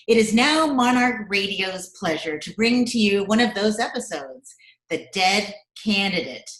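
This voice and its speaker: A woman's voice: mid-range voice, fairly bright tone. A woman announcer reading a scripted introduction in the manner of an old-time radio presentation. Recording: roomy video-call sound.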